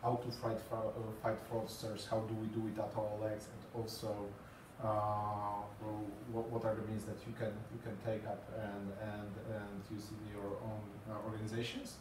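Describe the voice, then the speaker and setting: A man in his thirties speaks English with a Polish accent, stopping often on fillers and repeating words as he presents. He sounds far from the mic in an echoey room. His pitch sits in the middle of the range. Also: completely flat delivery, moderate pace, noisy background. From Poland. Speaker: conference speaker. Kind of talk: presentation talk. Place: small meeting room with green walls and a screen. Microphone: far camera, no mic seen.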